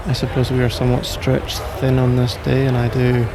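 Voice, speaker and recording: A man with a British accent playing a suave, suspicious and powerful mafia crime lord in an intimate ASMR roleplay, measured, self-assured and teasingly condescending. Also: medium pitch, completely flat delivery, close to an everyday voice. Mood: cool, guarded. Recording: noisy bar-like crowd ambience behind.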